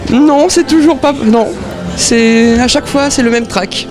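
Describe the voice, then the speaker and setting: A man's voice: extremely high pitch, measured pace, music in the background. A man in his thirties, a singer being interviewed at a concert, answering hesitantly with shy little laughs. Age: 30s. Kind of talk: casual interview. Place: concert venue.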